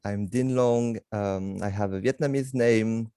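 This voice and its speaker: A man from France co-hosting a video call, friendly and relaxed, often laughing. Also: on the low side, measured pace, clean tone, no room sound, clean studio sound. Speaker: program co-host. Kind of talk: casual video call chat.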